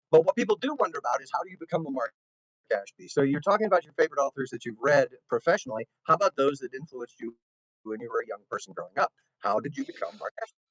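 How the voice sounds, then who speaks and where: A man's voice: mid-range voice, lively intonation, fast talker, a bit bright, no room sound, rough recording. A man hosting a casual interview show, chatting with wry asides. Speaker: host.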